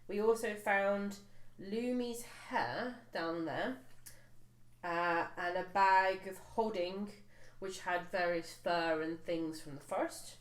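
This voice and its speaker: A woman casually recapping a tabletop role-playing campaign for the group, pausing often with fillers and restarts. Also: medium pitch. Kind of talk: casual chat around a tabletop game. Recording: echoey room, faint noise.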